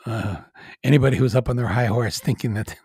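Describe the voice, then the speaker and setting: A man in his sixties with a gravelly, very husky voice, musing through a loose podcast monologue. He rambles and laughs at himself, filling gaps with "uh" and "um". The sound is close and dry. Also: medium pitch, moderate pace, a little mellow, dry recording. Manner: rambling, self-deprecating, with chuckles and frequent "uh". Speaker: podcast host. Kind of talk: casual monologue.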